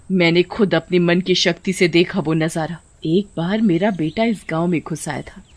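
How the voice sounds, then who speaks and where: A woman's voice: on the low side, darkish tone, natural voice. A woman voicing a giant female serpent in a horror story, a grieving mother who is menacing, taunting and bent on revenge. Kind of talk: Hindi horror cartoon story acting.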